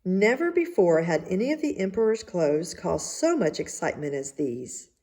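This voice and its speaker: A woman reading a children's tale aloud as narrator, in a measured, deliberate way. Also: fairly low pitch, big pitch swings, dark tone. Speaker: story reader. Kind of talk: scripted storybook narration.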